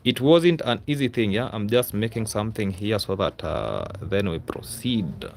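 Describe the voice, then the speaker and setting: A man's voice: lowish voice, expressive, normal speed, gravelly, slight background noise. A man with a Kenyan accent hosting a casual livestream, rambling and repeating himself, often adding a questioning "yeah?" to his sentences. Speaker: YouTuber, livestream host. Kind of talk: casual livestream chat to viewers.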